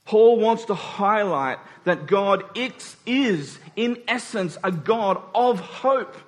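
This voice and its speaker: A man's voice: high-pitched, animated, slow. A man preaching a conversational sermon, repeating key phrases for emphasis and checking in with his listeners through short rhetorical questions. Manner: rhetorical check-ins with the congregation.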